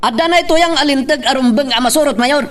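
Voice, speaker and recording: Radio drama protester, played by a man, Ilocano Filipino accent, high voice, off-mic with faint noise, defiant follower type. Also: fast, fairly bright tone, recorded from a distance. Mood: angry, defiant.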